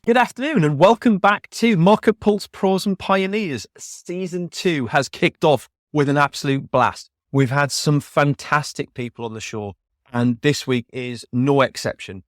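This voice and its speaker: A man's voice: fairly high pitch, measured pace, dry recording, clean studio sound. A man hosting a podcast interview in a friendly, rambling way. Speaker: podcast host.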